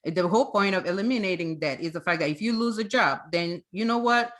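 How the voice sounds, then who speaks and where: A woman's voice: mid-range voice, a little fast. A woman speaking as a financial coach and guest, explaining conversationally and emphatically, often checking in with rhetorical questions.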